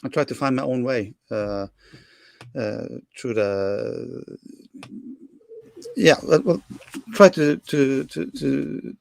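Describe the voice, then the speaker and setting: A middle-aged man from Norway with a Norwegian accent, answering interview questions in English with many pauses and restarts. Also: medium pitch, lively intonation, gravelly, darkish tone. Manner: hesitant, many fillers and restarts. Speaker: film director guest.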